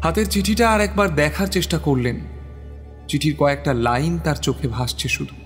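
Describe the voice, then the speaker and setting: A man narrating a scripted audio story in a dramatic, literary style with deliberate, suspenseful phrasing. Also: on the high side. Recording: long reverb, music underneath.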